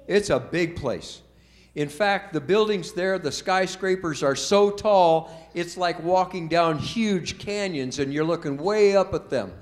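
A folksy man in his seventies tells a story to a group of children, stopping to quiz them along the way. His voice sits a touch higher than most men's, and his telling is loose and chatty rather than scripted. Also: unhurried. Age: 70s. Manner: folksy, asks the children questions. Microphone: told from memory without notes.

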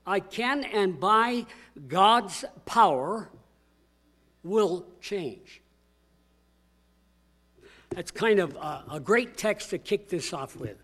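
Booming older pastor preaching a sermon. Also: male voice, fairly bright tone. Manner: booming, emphatic, slows on key words. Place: church.

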